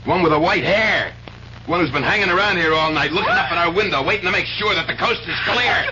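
A man's voice: high voice, fast talker, lightly acted. An actor playing a jealous, menacing working man with a sneering, taunting manner in a 1970s radio mystery drama. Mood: bitterly jealous. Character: jealous, menacing husband, a bitter shoe salesman. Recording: dull 1970s radio broadcast, faint noise.

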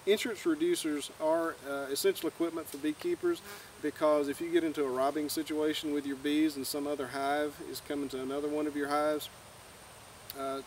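A young man giving an informal, plain-spoken, folksy instructional talk to camera outdoors. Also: a little high. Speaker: beekeeper giving a tutorial. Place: outdoors in a grassy clearing by woods. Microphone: far camera. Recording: distant camera mic, outdoor background noise.

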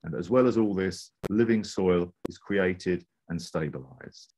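A man's voice: deep voice, expressive, unhurried, a little mellow. A man narrating a scripted slide presentation as a presenter.

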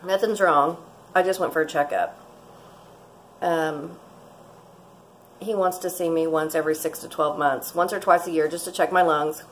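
A woman's voice: low voice, fairly even intonation, normal speed, pauses often, low-quality recording. Lady in her 40s chatting away in her kitchen, rambly, laughs a lot, close-up camera, bit of background hum. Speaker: vlogger. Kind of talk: casual cooking vlog chat. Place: home kitchen, indoors. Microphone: fixed camera at close range.

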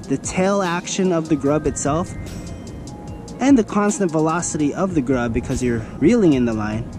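A man's voice: fairly high pitch, normal speed. A man in his thirties, presenting an instructional vlog in a casual, step-by-step manner. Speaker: fishing vlogger presenting a tutorial. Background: background music.

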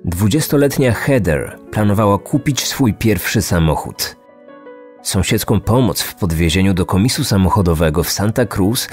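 A man's voice: deep voice, a little mellow. A man in his thirties hosting and narrating a scripted true crime podcast. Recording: music behind, some reverb. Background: music.

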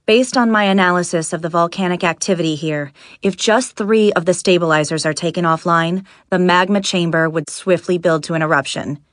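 Actress as careful lady scientist logging a game journal, lowish voice, serious, dry sound. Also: a little fast, hardly any pauses, no echo, lightly acted. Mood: grave, thoughtful. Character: earnest, cautious female research scientist. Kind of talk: recorded journal entries in a video game.

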